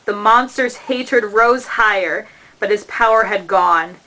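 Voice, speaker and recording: A woman giving a measured, dramatic narration of an epic poem as the storyteller of a puppet show. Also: medium pitch, bright, forceful tone, rough recording.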